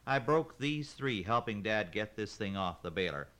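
A man's voice: deep voice, expressive, measured pace, fairly bright tone. A man voicing a young woman, an indignant farm daughter who snaps and makes demands in a read-aloud story. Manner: snapping, demanding.

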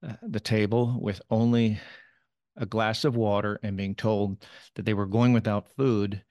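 A man answering interview questions about his late father, speaking in a measured, thoughtful way with occasional pauses. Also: fairly low pitch, creaky, a little mellow, no room sound, clean studio sound. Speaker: interview guest, son of the subject.

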